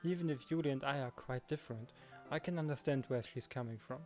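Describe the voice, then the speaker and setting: A man's voice: medium pitch, fast, a little mellow, faint music behind. A man hosting a let's play, reading a visual novel and chatting casually in a conversational way. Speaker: let's play host, narrator and reader.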